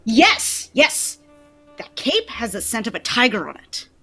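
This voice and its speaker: A brisk detective in an old-time radio play, acted by a woman. The voice is high, animated and emphatic, decisive in every line. Music plays faintly underneath. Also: husky, heavily acted. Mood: urgent, decisive. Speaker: amateur voice actor in live table read.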